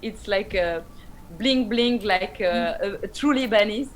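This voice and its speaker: Woman, thirties, French accent, Arabic and French native, halting delivery, interview guest, slight background noise. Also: mid-range voice. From France. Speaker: guest, independent pop rock musician. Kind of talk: conversational interview answers.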